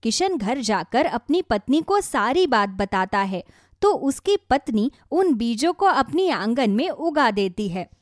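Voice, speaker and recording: A woman's voice: on the high side, sing-song, measured pace, no room sound, studio quality. A woman narrating a scripted moral story for children.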